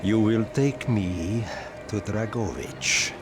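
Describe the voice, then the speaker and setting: An actor playing a haughty, defiant scientist, imperious and deliberate, in a war video game. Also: male voice, on the low side, noisy background. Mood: contemptuous, demanding. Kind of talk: dramatic war game acting.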